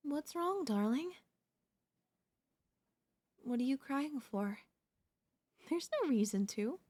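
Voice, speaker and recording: Girl voice actor doing an obsessed idol kidnapper, asmr roleplay, soft doting sweet talk but controlling and kinda menacing. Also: high voice, lively intonation, takes it slowly, heavily acted. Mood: soothing, possessive. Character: obsessive yandere female pop idol kidnapper, sweetly possessive.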